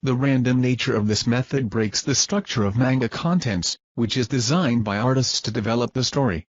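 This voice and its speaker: A man reads a scripted research narration in a formal, measured manner, like a presenter explaining a technical method. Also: mid-range voice, lo-fi sound.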